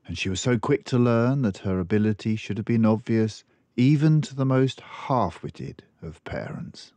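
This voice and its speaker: An older man reading a children's book aloud in a deliberate, expressive storytelling manner. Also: on the low side, no room sound. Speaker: storybook reader.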